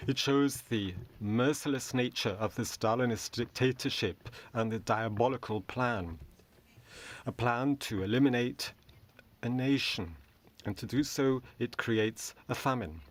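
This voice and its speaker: Man, simultaneous interpreter, debate speech, steady, measured, short phrases, booth mic. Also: medium pitch.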